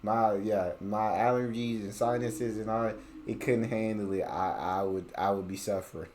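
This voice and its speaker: A man with an American accent, hosting a reaction video, commenting in a relaxed, emphatic, casual way. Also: lowish voice, slight background noise. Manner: relaxed, emphatic, drawn-out asides.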